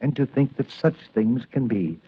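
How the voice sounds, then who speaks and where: An actor playing a kindly, musing older doctor and inventor in an old radio drama, speaking in an avuncular way. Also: male voice, medium pitch, expressive, normal speed, breathy edge. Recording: tinny, band-limited old radio recording.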